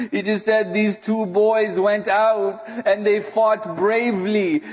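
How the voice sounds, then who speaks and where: A man preaching a religious lament in an emotive, dramatic, grief-laden way, his voice tearful and close to breaking. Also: high-pitched. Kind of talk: religious sermon, mournful lament storytelling. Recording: echoey hall with long reverb.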